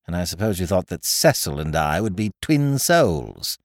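A man plays a put-upon writer in a comic tale, indignant and bitingly sardonic. His lowish voice swings dramatically as exasperation builds into wordy menace. It sounds close and dry. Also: big pitch swings, normal speed, dry recording. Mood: bitter sarcasm. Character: aggrieved male novelist, sardonic, exasperated, theatrically menacing.

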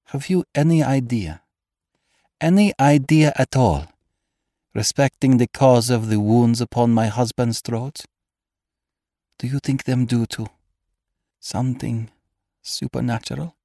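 A man's voice: mid-range voice, animated, normal speed, dark tone, no room sound, studio quality, voice pushed up, natural voice. A man voicing a dramatic, anxious, pleading noblewoman in an audiobook. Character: beautiful, cold yet frightened foreign noblewoman. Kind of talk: audiobook character acting.

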